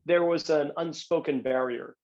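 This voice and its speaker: A man's voice: on the high side, moderate pace, lo-fi sound. A man hosting a podcast, friendly and self-deprecating, often stumbling over and repeating words. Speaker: podcast host. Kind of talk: casual podcast interview.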